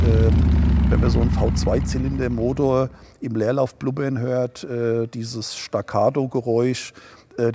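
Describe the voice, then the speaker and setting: A man in his sixties, co-owner of a dealership, talking calmly in an interview with many hesitations. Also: mid-range voice, vocal fry, husky. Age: 60s. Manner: relaxed, hesitant with many fillers. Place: showroom, seated on a sofa indoors.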